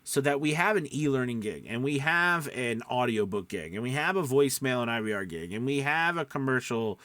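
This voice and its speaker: A man with a medium-pitched voice speaks as an informal instructor. He leans on important points, repeats them and tags on little check-in questions. The sound is dry, with hardly any room in it. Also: animated, brisk, fairly bright tone, no room sound. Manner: emphatic, repeats key points, checks in with "right?" and "okay?". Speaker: voice-over coach. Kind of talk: informal instructional talk, tips for aspiring voice actors.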